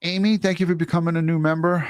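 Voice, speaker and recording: A man's voice: fairly high pitch, flat delivery, quick pace, clean tone, no room sound, studio quality. A man co-hosting a livestream, reading out viewer messages and super chats in a casual, blunt way and swearing freely. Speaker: livestream co-host.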